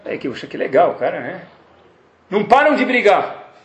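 A man's voice: high voice, moderate pace, echoey room. A man with a Brazilian Portuguese accent, a rabbi teaching a class to his students, telling stories and acting out the dialogue in them. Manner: animated storytelling, acts out quoted dialogue. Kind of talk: Torah class lecture.

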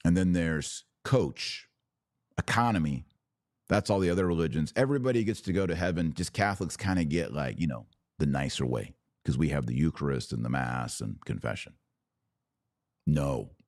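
A man with an extremely low-pitched voice, a little raspy and tired from long hours of talking. He hosts conversationally, punching out short emphatic phrases as he comments and takes live questions. The sound is dry and close, as on a studio microphone. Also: lively intonation, normal speed, darkish tone, no room sound. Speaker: podcast host. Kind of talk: casual religious commentary, answering live comments.

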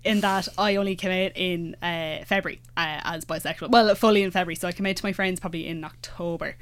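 Quick-talking Irish young woman. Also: on the low side, steady tone, no echo. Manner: fillers, many restarts, quick asides. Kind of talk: casual chat.

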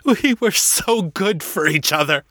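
A man doing an anguished, ranting dark lord. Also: medium pitch, animated, slow, slightly rough, no room sound, studio quality, exaggerated voice. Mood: grieving. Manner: anguished ranting, breaking into sobs. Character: brooding male dark lord, grieving and volatile.

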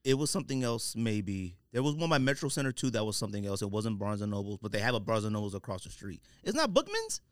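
Late 30s guy on a podcast, slangy storyteller, pitch jumps around a lot, dry close sound. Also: fast talker, hardly any pauses, no echo. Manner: animated storytelling, slangy, emphatic. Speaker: podcast host. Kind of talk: casual podcast banter.

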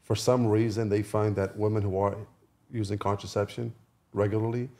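A man chatting casually as a podcast guest, haltingly repeating words as he goes. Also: fairly low pitch, little pitch movement, mellow.

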